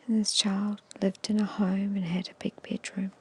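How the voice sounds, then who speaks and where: A woman guiding an ASMR meditation in a hushed, soothing, breathy whisper with short, measured phrases. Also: mid-range voice, flat delivery. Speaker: hypnosis and meditation guide.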